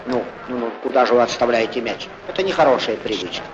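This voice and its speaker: A man playing an excitable, good-humoured football commentator in a 1940s cartoon, chatty and theatrical, on a noisy old film soundtrack. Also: medium pitch, fast, muffled recording, close to an everyday voice. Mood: concerned, wry. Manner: chatty, theatrical, exclaiming, correcting himself. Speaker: sports commentator.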